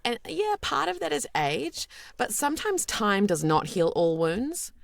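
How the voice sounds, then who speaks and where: A woman with a deep voice, a voice actor being interviewed as a guest, talking candidly and reflectively, with many fillers and restarts. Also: very animated, unhurried, gravelly, dry recording. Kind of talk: podcast interview.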